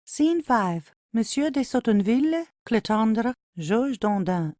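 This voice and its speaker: A woman's voice: fairly high pitch, sing-song, slow, warm tone, no echo, studio quality. A woman narrating an audio play, reading out stage directions in a scripted style.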